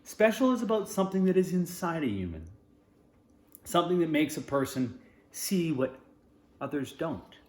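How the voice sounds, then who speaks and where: A gentle, wise sasquatch dad from a storybook, read aloud by a man. He explains with tender patience and expressive pitch, in a room with audible echo. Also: normal speed. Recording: roomy echo.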